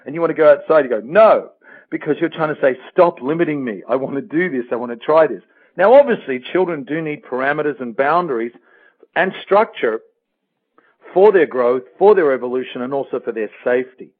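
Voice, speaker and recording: An Australian man talks as a guest life coach, his voice pitched a little high. He is earnest and emphatic, building points through repetition. The sound is narrow and tinny, like a phone call to a radio show. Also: expressive, a little fast. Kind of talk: motivational interview talk with personal anecdotes.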